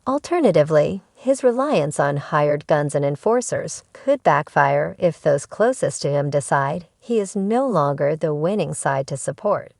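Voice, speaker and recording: A woman's voice: deep voice, expressive, unhurried, gravelly, few pauses, a little mellow, no echo, clean studio sound. A woman narrating a scripted entertainment news video.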